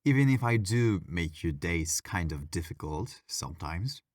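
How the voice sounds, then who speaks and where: A man playing a friendly, bored, mischievous ghost in an intimate ASMR audio roleplay, talking in a playful, wry, gently argumentative way. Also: low-pitched, animated, measured pace, no echo, clean studio sound. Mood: gently sincere.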